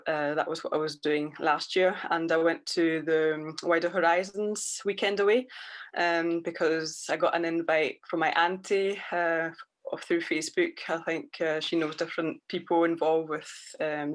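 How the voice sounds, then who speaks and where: A young woman in her twenties answering interview questions softly, with many ums. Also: deep voice. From Scotland, raised in France. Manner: soft-spoken, many fillers. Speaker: interviewee, youth worker. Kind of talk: conversational interview.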